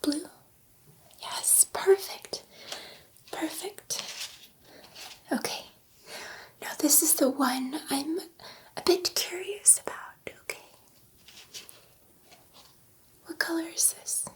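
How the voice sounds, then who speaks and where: A gentle alien scientist, played by a woman, whispers through an ASMR roleplay in a high, feminine voice. She is curious and soothing, taking her time and softly repeating reassurances to her human test subject. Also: takes it slowly, long pauses. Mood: soothing, encouraging. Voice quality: soft, breathy whisper.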